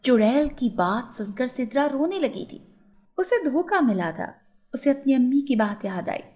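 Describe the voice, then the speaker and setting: A woman tells a scripted story for children. Her voice is somewhat high, and she works as the narrator of a moral tale. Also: big pitch swings, unhurried, a little mellow.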